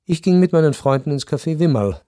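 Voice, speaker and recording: Radio drama narrator: male actor, dark mellow tone, measured, melancholy and resigned, close dry sound over music. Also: fairly high pitch, expressive, dry recording, natural voice. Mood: wistful, resigned. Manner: measured, reflective. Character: melancholy first-person narrator, resigned Viennese gentleman.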